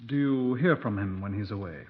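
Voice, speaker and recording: A man acting a gruff, impatient London police superintendent in a radio drama, with a clipped, formal British delivery. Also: fairly low pitch, animated, moderate pace. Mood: brisk questioning. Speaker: narrator and lead actor. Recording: muffled old 1950s radio recording.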